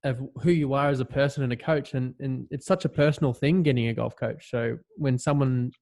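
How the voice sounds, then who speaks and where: A man hosting a casual podcast interview, asking questions in a steady, even way. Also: medium pitch, a little fast, vocal fry. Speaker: podcast host.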